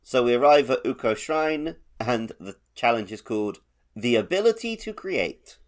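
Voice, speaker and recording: A man's voice: medium pitch, lively intonation, moderate pace. A man co-hosting a gaming podcast, talking casually in an explanatory, analytical way. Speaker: podcast co-host.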